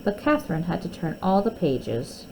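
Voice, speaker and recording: Female storybook reader, lively intonation, touch of creak, faint background noise. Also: lowish voice, normal speed, low-quality recording. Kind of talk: children's story read aloud.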